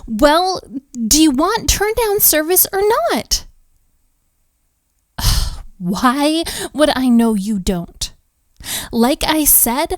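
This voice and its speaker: An overworked, sharp-tongued hotel maid played by a woman in an intimate romantic audio drama. Her voice sits a little high and she speaks slowly, with exasperated sighs and defensive sarcasm. The sound is dry, with no room around it. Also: no room sound, heavily acted. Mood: indignant, exasperated. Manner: exasperated, sighing, sarcastic, conversational.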